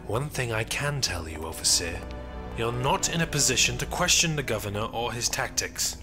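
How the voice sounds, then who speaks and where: A man's voice: medium pitch, lively intonation, normal speed, close to an everyday voice. Man playing haughty Imperial commander over music. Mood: stern, guarded. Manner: commanding, clipped, disdainful. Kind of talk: fan audio drama acting. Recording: loud film-style music behind.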